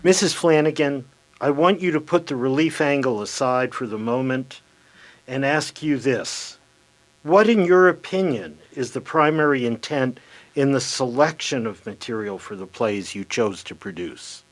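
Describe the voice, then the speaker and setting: A man's voice: medium pitch, expressive, moderate pace, natural voice. A man playing a probing, formal 1930s congressional committee chairman in a radio theater reading.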